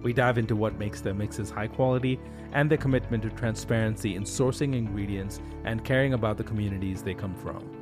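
A man hosting a podcast, reading a scripted intro and then asking questions in a relaxed way with fillers. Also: lowish voice, a little fast, few pauses. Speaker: podcast host. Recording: intro with guitar music.

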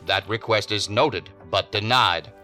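Stern, bright-voiced agency boss. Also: male voice, lowish voice, faint music behind. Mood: commanding, impatient. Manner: formal, firm, commanding, measured. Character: stern, authoritative male assistant director of a federal agency. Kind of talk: science fiction audio drama acting.

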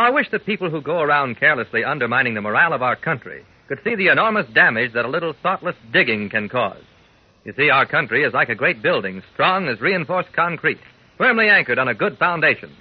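A man's voice: medium pitch, husky. A man giving an earnest, folksy, emphatic public-service talk to young listeners in 1940s radio style. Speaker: radio announcer. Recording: muffled old radio recording.

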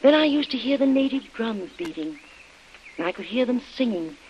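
A woman's voice: on the high side, expressive, moderate pace, lightly acted. A woman playing an anxious young wife who earnestly recounts events in a vintage radio drama. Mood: uneasy recollection. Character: Helen, anxious young wife recalling her ordeal. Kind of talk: 1940s radio drama acting. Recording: muffled, noisy old radio recording.

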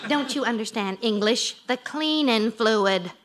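A woman's voice: on the high side, measured pace, natural voice. A woman playing an exasperated, bossy American housewife in an old radio comedy, with an emphatic scolding manner. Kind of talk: 1940s radio sitcom acting.

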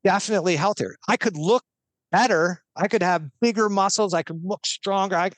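A man's voice: high-pitched, a little fast, dry recording. A man talking as a guest expert on a podcast, explaining earnestly step by step with a few restarts.

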